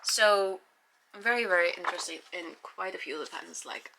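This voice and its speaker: A woman's voice: on the low side, fairly quick, creaky, noisy background. A young woman with an Icelandic accent, a native Icelandic speaker, chatting casually and rambling through her book haul with sighs and ums. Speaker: book vlogger.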